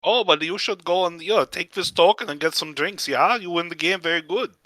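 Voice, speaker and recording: Give me a joking game master, a man with a high, bright voice on a dry close mic. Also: brisk, dry recording. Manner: relaxed, joking, laughs often. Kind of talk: running an online tabletop game.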